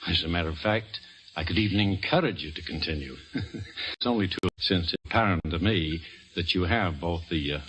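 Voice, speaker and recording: An actor playing a cautious, paternal older doctor in an old-time radio drama, with a measured, slightly patronizing manner. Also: male voice, low voice, a bit bright, a little hiss or hum, rough recording. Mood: cautioning, paternal. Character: paternal older male doctor, cautious but fond mentor.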